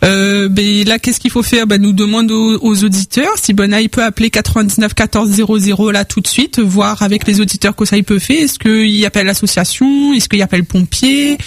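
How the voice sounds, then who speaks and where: A man's voice: high-pitched, steady tone, fairly quick, hardly any pauses, dry recording. A man hosting a call-in radio show, chatty and teasing, full of exclamations. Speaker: radio host taking calls. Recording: radio broadcast.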